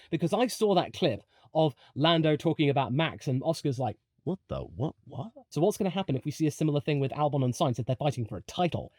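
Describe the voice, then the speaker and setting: A man's voice: a little high, darkish tone, no echo, clean studio sound. A man presenting opinionated motorsport commentary in a part-scripted, conversational video essay style, with emphatic delivery and rhetorical asides.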